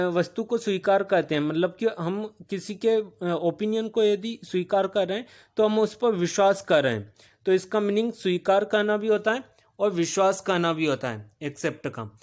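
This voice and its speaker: A male teacher, giving a recorded vocabulary lesson. He repeats each meaning as a question, answers it himself, and pauses on "uh". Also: sits high. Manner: steady explaining pace with filler "अ" pauses.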